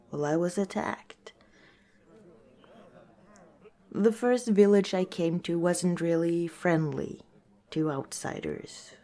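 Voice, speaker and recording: Female orc tavern owner, played by a woman: lower register, slow delivery, gentle and hesitant, intimate ASMR storytelling. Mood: rueful.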